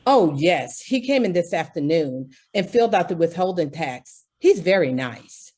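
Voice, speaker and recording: Female friend role, radio drama woman acting: gracious, polite, a touch flustered, fairly low and very lively. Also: moderate pace, breathy edge. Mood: grateful, gracious. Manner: polite, genteel, effusive. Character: gracious, grateful lady friend, slightly flustered.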